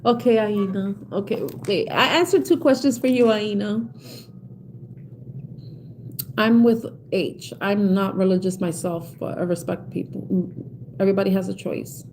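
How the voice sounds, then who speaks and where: A middle-aged woman with a New York accent gives a live tarot reading, talking to viewers in a chatty, reassuring way with many fillers and restarts. Her voice is mellow and soft-edged. Faint noise sits behind her voice. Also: medium pitch, normal speed. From South Bronx, New York. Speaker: tarot reader.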